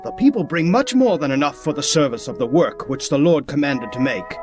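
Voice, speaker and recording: A man's voice: a little high, lively intonation, a little fast, music in the background, natural voice. An actor playing a craftsman formally and earnestly reporting to his leader in a dramatised scripture reading.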